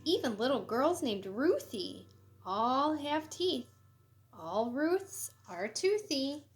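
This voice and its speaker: A woman reading a children's picture book aloud in an expressive, sing-song way, with short asides to young listeners. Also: high voice. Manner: expressive, sing-song, deliberate storybook delivery. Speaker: elementary school educator reading aloud.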